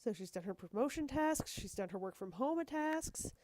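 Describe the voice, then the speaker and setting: A woman's voice: fairly high pitch, animated, normal speed, darkish tone, slight background noise. Stuffy-nosed woman rambling over gameplay. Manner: chatty, self-deprecating, apologetic asides. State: stuffed up from a cold, a bit wheezy. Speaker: gaming video host. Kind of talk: casual rambling gameplay commentary.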